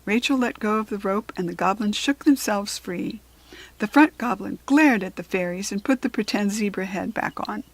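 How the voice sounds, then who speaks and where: Breathy American woman reading a children's story. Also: mid-range voice, moderate pace, no echo, low-quality recording. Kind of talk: expressive children's book narration.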